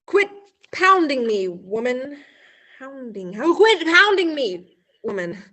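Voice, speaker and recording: A woman's voice: high-pitched, very animated, slow, a bit bright, heavily acted. A woman playing a blundering female army general in a school radio play, speaking stiffly and tripping over words. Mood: pompous.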